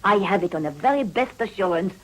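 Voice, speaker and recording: An actress playing a proud, unflappable brothel madam with a Belgian accent and a haughty, self-possessed manner in a radio drama. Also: female voice, medium pitch, expressive, brisk, a bit bright, rough recording. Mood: cool, assured.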